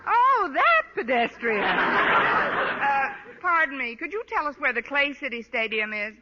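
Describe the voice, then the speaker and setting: Wry schoolteacher, noisy old radio. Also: female voice, sits high, big pitch swings, a little slow, dull audio. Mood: wry, sarcastic. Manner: dry, sarcastic delivery. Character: caustic, wisecracking female high school English teacher. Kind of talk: 1940s radio sitcom acting.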